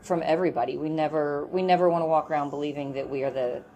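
A woman's voice: sits low, fairly even intonation, fast, warm tone, dull audio, a little hiss or hum. A woman hosting a podcast, chatting with enthusiasm and energy. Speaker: podcast host. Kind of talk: upbeat podcast chat.

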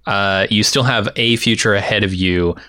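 A man's voice: on the low side, moderate pace, sharp tone, clear, resonant tone, no room sound, clean studio sound. A man co-hosting a podcast, reasoning earnestly through a casual analysis and often restarting his sentences. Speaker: podcast co-host.

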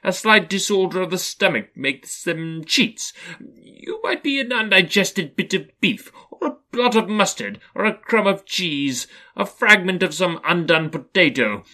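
A man's voice: high voice, lively intonation, a little slow, pushed and bright, pitched above the performer's own voice, heavily acted. A man voicing a caustic, cold, sceptical old miser in a blustering, exclamatory manner for a storybook. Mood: nervous mockery.

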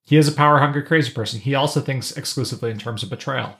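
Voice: medium pitch, very fast, vocal fry, a bit bright. A podcast co-host in a casual pop-culture discussion, explaining things and slipping into joking asides.

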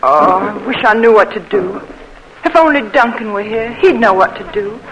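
A woman's voice: medium pitch, animated, normal speed, close to an everyday voice. An actress playing an emotional young Southern woman, pleading and earnest, in a 1940s radio drama. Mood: anxious. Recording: noisy old radio recording.

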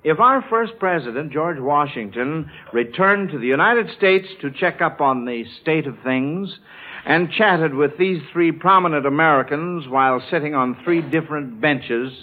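A man's voice: on the high side, animated, moderate pace. A man hosting a children's radio quiz, encouraging his panel, often hesitating and repeating himself. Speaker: quiz show host. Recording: tinny narrow-band vintage radio broadcast.

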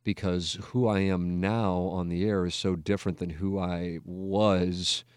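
A man's voice: low-pitched, flat delivery, unhurried, a little mellow, clean tone, no echo, studio quality. An American man in his forties talking candidly and casually as a radio host, rambling, self-deprecating and often swearing. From United States, Missouri. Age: 40s. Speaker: radio talk show host. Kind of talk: candid reflective casual talk.

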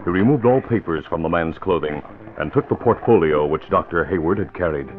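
A man's voice: low voice, lively intonation, brisk. A man delivering formal, dramatic scripted narration for an old-time radio drama. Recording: narrow vintage radio recording with music. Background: music.

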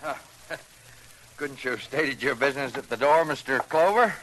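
A man acting a gruff, hot-tempered middle-aged father, brusque and anguished, in an old-time radio drama. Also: mid-range voice, normal speed, slight background noise, close to an everyday voice, low-quality recording. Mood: irritated, suspicious. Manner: brusque, forceful, agitated. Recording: vintage radio recording.

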